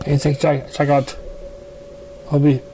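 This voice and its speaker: Professional mare examiner read by a man for a storybook, businesslike, mellow tone, faint noise. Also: on the high side, completely flat delivery, unhurried, lightly acted, rough recording. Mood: brisk. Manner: businesslike, matter-of-fact.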